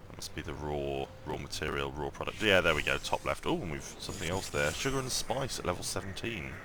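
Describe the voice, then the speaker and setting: A man with a croaky morning voice giving casual gameplay commentary, thinking aloud and rambling with lots of ums and restarts. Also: very deep voice, fairly quick, music in the background. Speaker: mobile game let's-play host.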